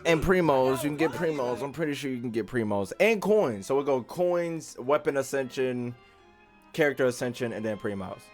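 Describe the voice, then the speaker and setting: A man's voice: mid-range voice, expressive, moderate pace, faint music behind. Casual young man streaming a game. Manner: informal, slangy, rambling. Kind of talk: casual livestream chat and commentary.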